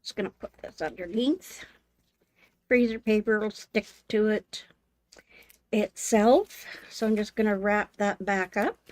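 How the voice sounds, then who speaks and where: An older woman hosting a live sewing demonstration, talking in a homey, unhurried way with many ums, her voice slightly hoarse. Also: medium pitch, darkish tone. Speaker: sewing channel host. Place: home sewing room.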